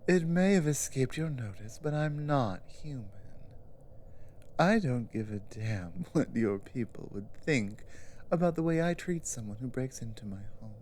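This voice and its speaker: A woman playing a powerful, haughty, suspicious giantess sorceress in an ASMR roleplay, speaking deliberately and commandingly, sometimes dropping toward a whisper. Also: extremely low pitch, expressive, darkish tone, a little hiss or hum. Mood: stern, suspicious. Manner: slow, deliberate, commanding, with breathy drops into whispers. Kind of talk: ASMR roleplay, dramatic monologue.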